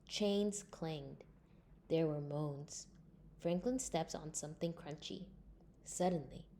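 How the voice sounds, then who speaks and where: A woman reading a scripted children's storybook aloud in an expressive way. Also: low-pitched, slight background noise. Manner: expressive, acts out character lines. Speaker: storybook reader.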